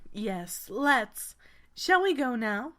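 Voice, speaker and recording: Woman acting a bright-voiced, courteous lady knight. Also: a little high, sing-song, drawn-out words, full character voice. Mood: warm, respectful. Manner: formal, courteous, reassuring. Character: adult female pegasus knight, kind, devoted, older-sisterly protector. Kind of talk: video game fandub acting.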